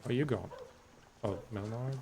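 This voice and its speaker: A man's voice: medium pitch, a little slow, noisy background. A man giving casual, understated let's-play commentary over faint game sounds. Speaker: gaming video host.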